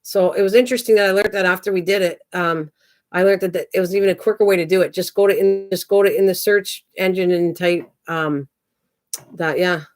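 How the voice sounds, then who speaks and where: A woman's voice: fairly low pitch, fairly even intonation, fairly quick. A woman of sixty, hosting her craft channel and chatting casually with viewers, rambling and answering them by name. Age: 60s. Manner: chatty, rambling, talks back to chat by name. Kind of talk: casual livestream chat reading viewer comments.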